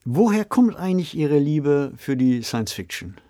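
A man's voice: a little high, very animated, unhurried, mellow. A man interviewing a guest in a conversational way.

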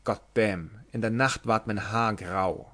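Brief: grizzled boastful seaman at the tavern, a man reading it, gruff and unhurried. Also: on the low side, steady tone, bright, forceful tone. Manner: blustering, curses.

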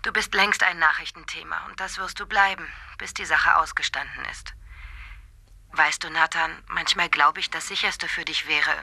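An actress playing a caring, frank female talk-show host in a German radio drama, direct and motherly, with a husky voice. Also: low-pitched, fairly even intonation, normal speed, pushed and bright, heavily acted. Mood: earnest, concerned.

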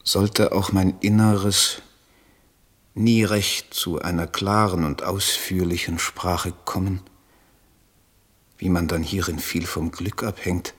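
An actor playing an idealistic, troubled German poet, speaking in a solemn, declamatory radio drama manner. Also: male voice, low-pitched, fairly bright tone, slightly rough, exaggerated voice. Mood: solemn resolve. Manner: solemn, declamatory, elevated diction. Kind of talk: literary radio drama monologue.

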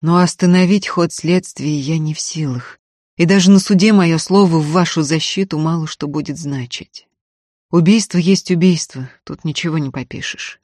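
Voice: mid-range voice, moderate pace, darkish tone, dry recording, natural voice. Weary landowner, acted by an audiobook narrator, grave, firm, dramatic, dry studio sound. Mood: heavy, resolute. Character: Zakatov, weary grave young landowner, guilt-ridden but firm. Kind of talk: dramatic audiobook character reading.

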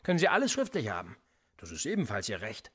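An actor playing a cynical, sly police interrogator in a radio play, with an insinuating, coolly threatening manner. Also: male voice, lowish voice, wildly swooping pitch, fast, a bit bright, slightly rough, full character voice. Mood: mocking, pressing. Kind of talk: naturalistic German radio play acting.

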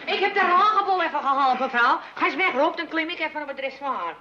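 A woman's voice: sits high, animated, fast talker. A woman playing a pert, outspoken housemaid who talks familiarly to her mistress in a radio play. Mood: pert, helpful. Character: cheeky, familiar housemaid, outspoken and quick to laugh. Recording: dull, very noisy old radio recording.

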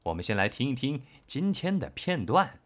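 A man's voice: medium pitch, big pitch swings, normal speed, a bit bright. A man who works as a voice actor, hosting a casual livestream in a playful, joking manner. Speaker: voice actor, guest and host.

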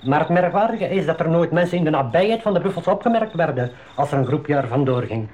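A man acting a knowledgeable, calm reserve guide in a 1980s school radio drama, explaining things in a measured, articulate way. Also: a little high, close to an everyday voice. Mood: earnest, informative.

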